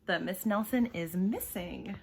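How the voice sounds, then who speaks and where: A woman reads a children's storybook aloud with an animated, emphatic delivery and a slightly husky voice. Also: medium pitch, unhurried, slight background noise. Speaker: storybook reader.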